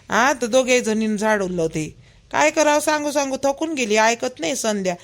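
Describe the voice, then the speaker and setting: Woman playing a high-voiced, gossipy Vidarbha village mother. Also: measured pace. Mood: fussing, fond. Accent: Vidarbha Marathi. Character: gossipy, fretting village mother of a grown son. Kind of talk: homemade cartoon family dialogue.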